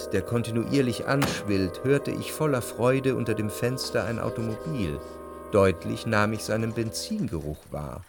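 An actor playing a sensitive, refined, melancholic narrator in a literary radio drama, speaking in a measured, lyrical way. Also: male voice, lowish voice. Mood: rapturous. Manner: measured, reflective, lyrical delivery.

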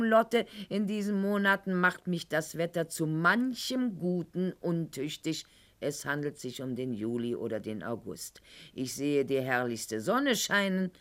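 A woman's voice: fairly low pitch, measured pace, a bit bright. An actress playing a proud, bitter, sickly aristocratic lady in a theatrical radio monologue, with mocking, precise, formal diction. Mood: indignant, scornful.

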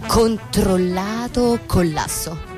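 An actress playing an ironic suburban housewife who narrates a literary stage drama in an expressive, theatrical way. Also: female voice, medium pitch, extremely slow. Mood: airy, theatrical. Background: music with violin.

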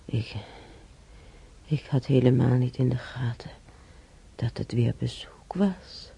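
A frail older woman in a hospital radio drama, very raspy and slow. She is weary and in pain, stumbling over repeated words and sighing in a soft, dark voice. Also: very deep voice, exaggerated voice. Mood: dazed, confused. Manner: halting, repeating words, moaning sighs. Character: frail old female hospital patient, weary, in pain, confused. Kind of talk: radio play acting.

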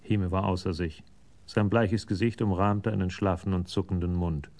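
A man narrating a scripted radio crime play in a sober storytelling manner, with a gravelly voice. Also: low-pitched, steady tone, normal speed, darkish tone.

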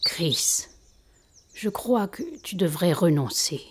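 A woman playing a grave, measured mentor of a resistance in an audio drama. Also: fairly low pitch, animated, full character voice. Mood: solemn. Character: Orion, solemn mentor master of a resistance. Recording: clear background noise of birdsong.

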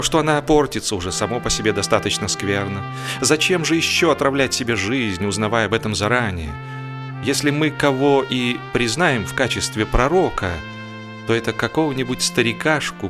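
A man reading a humorous novel as an expressive audiobook narrator, with wry, theatrical phrasing. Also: medium pitch.

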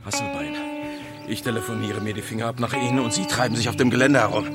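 An actor playing a blustering, exasperated construction site chief, ranting with bossy sarcasm in a radio play. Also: male voice, mid-range voice, huge pitch swings, fast, music in the background. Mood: angry, threatening. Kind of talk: theatrical radio play acting.

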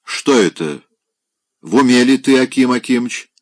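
Commanding old lady of the house, firm and loud, in a storybook reading, as a male reader plays her. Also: deep voice, expressive, a little slow, dry recording. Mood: stern. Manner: stern, commanding. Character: stern elderly woman, mistress of the house.

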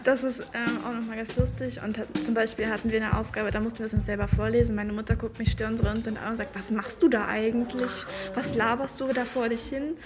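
A young woman, a high school student, chatting casually and matter-of-factly in colloquial speech. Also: flat delivery, fairly quick, few pauses, loud music behind the voice. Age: young adult. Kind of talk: casual interview chat.

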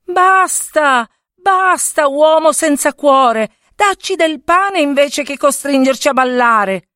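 A woman voicing a furious, exhausted miller's wife in a fairy tale, shrill and scolding. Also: lively intonation, takes it slowly, fairly bright tone, no echo, clean studio sound, full character voice.

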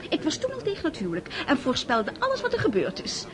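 A gushing Russian salon hostess in a radio play, with a highish, lively voice. She is affected, chatty and scolds playfully, with a clear murmur of party crowd behind her. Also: fast. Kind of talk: radio drama acting.

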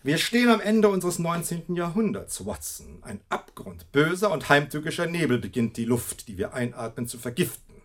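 Theatrical master detective, swooping delivery. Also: male voice, on the high side, very animated, normal speed, few pauses. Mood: grave, theatrical. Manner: pompous, precise, dramatically emphatic. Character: brilliant, self-assured, theatrical male detective. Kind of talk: German radio drama acting.

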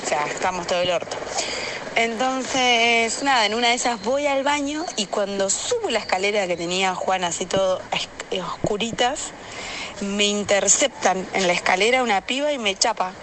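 A woman's voice: mid-range voice, animated, normal speed, fairly bright tone. Argentine woman, mid-range voice, frank and slangy storyteller, voice message with faint noise behind. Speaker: listener sending an audio message. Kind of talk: casual personal anecdote. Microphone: phone voice message.

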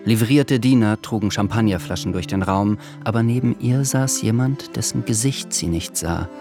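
A man narrating a literary radio drama in a measured, sober way. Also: on the low side, over music.

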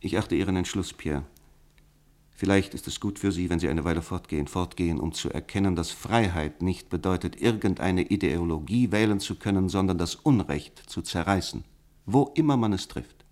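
An actor in a German radio play playing a resolute philosopher of direct action, lecturing in an emphatic, rhetorical manner. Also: male voice, sits low, moderate pace, darkish tone, close to an everyday voice. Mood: earnest, didactic.

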